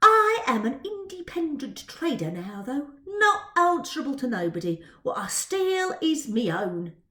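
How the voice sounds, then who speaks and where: A woman's voice: high voice, sing-song, a little slow, bright, forceful tone, roomy sound, pitched above the performer's own voice, exaggerated voice. A woman voicing a cocky, street-smart Victorian boy pickpocket with a Cockney accent, bragging in ungrammatical slang in a story reading. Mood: proud, cheeky.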